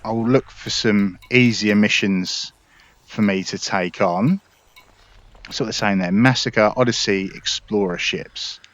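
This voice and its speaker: A man's voice: on the low side, a little slow, fairly bright tone, muffled recording. A man giving casual gameplay commentary, explaining things informally and directly to his viewers. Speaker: gaming video creator.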